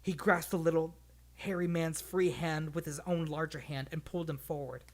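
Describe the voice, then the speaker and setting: Read-along streamer, medium pitch, expressive story narration, informal live delivery. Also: normal speed.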